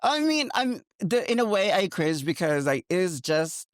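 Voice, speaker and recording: A woman from New York with a thick New York accent, chatting as a podcast guest and telling animated stories. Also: unhurried, no room sound, studio quality. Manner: animated storyteller, big pitch swings, frequent likes. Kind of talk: casual chat.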